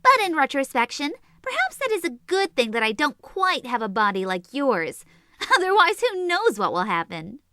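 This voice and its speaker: A woman's voice: high voice, huge pitch swings, moderate pace, background noise. A woman playing a petite, short-tempered teenage sorceress in a comedic anime fan audio drama, sarcastic and teasing. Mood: sly, teasing.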